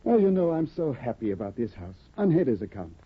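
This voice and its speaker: An actor playing an eager, naive young scholar and newlywed husband in a 1950s radio drama, fussy and stammering. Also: male voice, medium pitch, big pitch swings, fast talker, slightly rough. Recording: tinny old radio broadcast.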